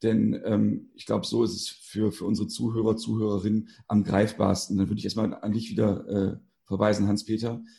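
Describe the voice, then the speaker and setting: A man's voice: on the low side, flat delivery, fairly quick, gravelly, few pauses, mellow. A man hosting a casual podcast interview, informal and searching for his words as he goes. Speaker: podcast host.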